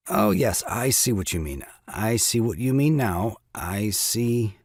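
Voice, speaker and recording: An actor playing an excitable, scheming young father and ingratiating nephew in an audio farce, flustered and wheedling. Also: fairly low pitch, slow, breathy edge, dry recording, clean studio sound, heavily acted. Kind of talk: stage farce audio drama acting.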